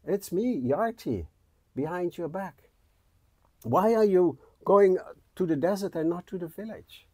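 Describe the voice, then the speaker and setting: A man's voice: sits high, expressive, unhurried, dark tone, breathy edge, dry recording, voice pushed up, heavily acted. A man voicing a tiny, bold helper who hides behind a rider and calls out urgently.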